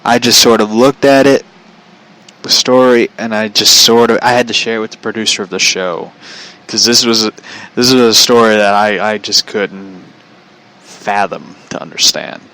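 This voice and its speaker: Bright-voiced American man, casual storyteller. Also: lowish voice, fairly even intonation, normal speed, rough recording. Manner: blunt, profane, slangy; chuckles often while talking. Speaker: show host and storyteller.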